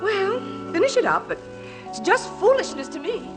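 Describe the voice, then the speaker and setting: A woman's voice: very high-pitched, big pitch swings, normal speed, over music. An actress playing a fussing, doubtful mother of a grown son in a 1950s radio musical. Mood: fond, dismissive.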